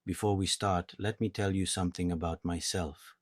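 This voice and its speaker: A man with an Italian accent teaching a beginner language lesson in a calm, measured, patient way, speaking slowly and clearly. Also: sits low, dry recording, studio quality. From Italy.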